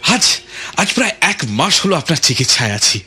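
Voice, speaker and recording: A man's voice: on the high side, very animated, moderate pace, sharp tone, husky, full character voice. A man playing an anxious, grateful castaway in a radio drama, speaking eagerly with nervous, stammered repetitions. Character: anxious, grateful male castaway desperate to go home. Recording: music under the voice.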